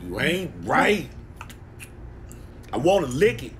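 A man's voice: sing-song, slow pace, a bit bright, a little hiss or hum. A man doing comedic voiceovers for pets, talking in a goofy, slangy, exaggerated way. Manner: goofy, exaggerated, wildly swinging pitch, slangy drawl. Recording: voiceover mixed with music.

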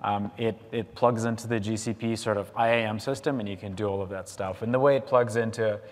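Man, conference speaker, somewhat low voice, long hall reverb, restarts sentences. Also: fairly even intonation, fairly quick. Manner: repeats questions, restarts often. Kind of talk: conference Q&A answers. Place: large conference ballroom. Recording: reverberant ballroom.

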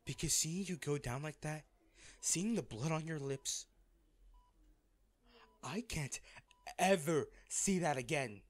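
A man playing a wealthy, devoted and distraught lover in an intimate audio roleplay, speaking earnestly and haltingly. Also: a little high, expressive. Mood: pleading, upset. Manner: halting, emotional, earnest delivery with pauses.

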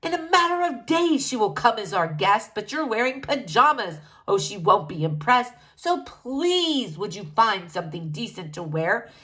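A woman's voice: on the high side, sing-song, moderate pace, hardly any pauses, a bit bright. A woman voicing a fussy, snobbish king who scolds his daughter. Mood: fretful. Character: fussy, snobbish middle-aged male king.

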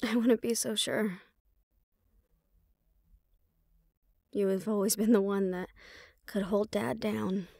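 A woman's voice: mid-range voice, steady tone, moderate pace, vocal fry, a little mellow, dry recording, clean studio sound. A woman playing a defiant, loyal young banshee daughter in an audio roleplay, sarcastic and casual. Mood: guarded, wry.

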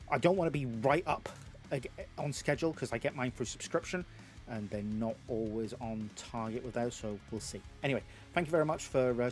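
A man in his thirties with a Geordie accent, narrating a tabletop battle report at home, slightly hoarse, rambling with lots of fillers. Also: medium pitch. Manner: rambling, self-deprecating, lots of filler words. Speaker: YouTube host. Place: home games room.

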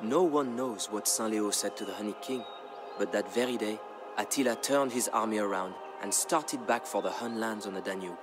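Solemn low-voiced man narrating over music. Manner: solemn, measured, storytelling delivery. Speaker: storyteller narrator of a historical game campaign. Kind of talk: scripted dramatic narration. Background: background music.

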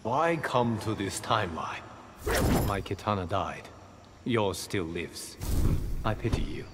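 An actor playing a steadfast, dutiful young warrior monk in a video game, earnest and firm. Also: male voice, lowish voice, animated, takes it slowly. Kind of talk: video game fight dialogue. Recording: reverberant hall, loud game noise.

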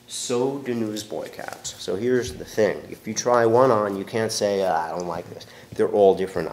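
A stuffy-nosed man talks about caps in a chatty, animated way. His voice is very low and gravelly. He is recorded on a camera sitting on the counter of a large shop, so the sound is roomy and has a faint hum behind it. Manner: chatty, animated, trying on hats while talking. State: stuffy from allergies. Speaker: hat shop owner. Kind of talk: casual product talk to camera. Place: large hat shop with wooden shelves, indoors. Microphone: camera on the counter, moves in and out of frame.

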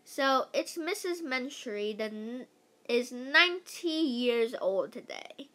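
High-voiced female reader doing a kids book out loud, kinda slow, trips on words sometimes. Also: animated. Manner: stumbles over words and rereads lines. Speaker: read-aloud storyteller. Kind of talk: animated read-aloud of a children's book, with casual asides.

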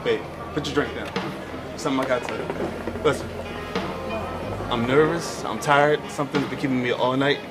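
A man playing a nervous, sentimental boyfriend in a comedy skit, speaking haltingly and earnestly. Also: mid-range voice, steady tone, natural voice. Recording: loud music and crowd behind.

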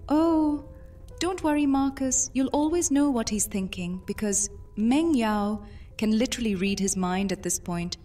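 A woman's voice: a little high, expressive, measured pace, dark tone. Motherly vampire commander, acted by a woman over music. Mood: teasing. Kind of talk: immersive audio drama roleplay. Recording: music behind the voice.

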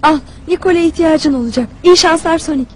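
Young cartoon squirrel heroine voiced by a woman, high, earnest, commanding yet caring. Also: quick pace, a little mellow, natural voice, low-quality recording. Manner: serious, commanding, concerned. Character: earnest, caring young female squirrel princess, team leader. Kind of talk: dubbed cartoon acting.

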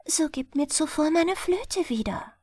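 Wistful high-voiced water nixie, voiced by a woman. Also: lively intonation, a little slow, a little mellow, no echo. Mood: demanding. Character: water nixie, melancholy, bargaining female lake spirit.

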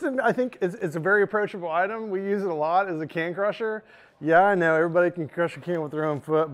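A man in his thirties casually walking through products in a large indoor showroom, chatty with lots of "um" and "right?". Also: on the high side. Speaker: store product presenter.

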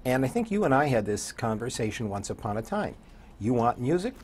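An older man talking conversationally as an interview guest, a radio DJ with a husky voice. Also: medium pitch, very animated, a little fast, a little mellow. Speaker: guest, radio program director and morning show DJ. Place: indoor TV talk show studio.

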